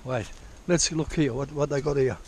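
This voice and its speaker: A man's voice: medium pitch, moderate pace, a little hiss or hum. A man rambles through a walkthrough of old diggings, correcting himself and swearing casually as he goes, recorded outdoors on a head-mounted camera. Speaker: prospecting vlogger. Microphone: head-mounted action camera.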